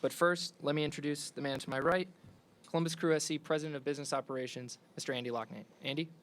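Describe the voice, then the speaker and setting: A man's voice: a little high, steady tone, fast, a bit bright. A man acting as master of ceremonies makes formal announcements and introductions at a press conference. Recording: faintly noisy live venue ambience.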